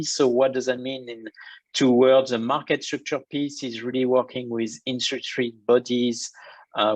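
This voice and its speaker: A man's voice: mid-range voice, no room sound, low-quality recording. French-accented man, dry close sound. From France. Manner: measured, explanatory, lists points in order. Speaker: bank innovation executive, interview guest. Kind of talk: conference interview answers. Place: home office or room in London.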